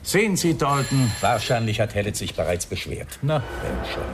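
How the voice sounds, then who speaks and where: An actor playing an irascible, grumbling police inspector, gruff and emphatic, in a German radio crime drama. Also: male voice, mid-range voice, big pitch swings, normal speed, background noise, natural voice. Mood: indignant.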